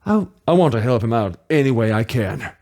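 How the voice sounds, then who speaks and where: A performer voicing an earnest young male rookie lawyer from a video game, brooding and deliberate. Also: mid-range voice, lively intonation, mellow, voice pushed down, natural voice.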